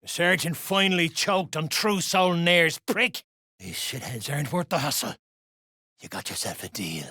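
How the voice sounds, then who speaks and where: An actor playing a foul-mouthed, surly duergar dwarf fighter in a video game, with a rough, husky voice and coarse slang. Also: male voice, fairly high pitch, animated, normal speed, pushed and bright, dry recording, clean studio sound. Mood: gruff, surly. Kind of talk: video game character lines.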